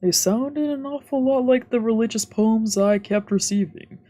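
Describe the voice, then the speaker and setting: A woman's voice: a little high, moderate pace, warm tone. A woman streamer reading visual novel narration aloud, with casual asides. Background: faint music.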